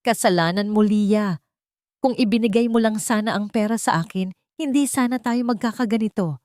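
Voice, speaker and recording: A woman's voice: mid-range voice, moderate pace, darkish tone, no echo, studio quality, voice pushed up, natural voice. A woman voicing an angry, greedy, drunken husband in a dramatic story, demanding and desperate. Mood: menacing, controlling.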